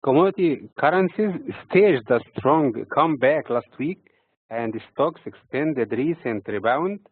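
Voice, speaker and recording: A man from Egypt with an Egyptian accent reads prepared financial market commentary in a measured, halting way, breaking phrases mid-sentence. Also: mid-range voice, lively intonation, husky. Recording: tinny, phone-like narrow-band audio.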